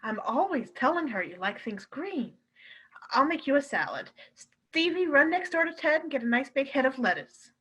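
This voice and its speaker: A woman acting in a live radio play as a brisk, firm but kindly wife who runs a cinema. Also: sits high, sing-song, sharp tone, heavily acted. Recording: clearly noisy streamed audio, clicks.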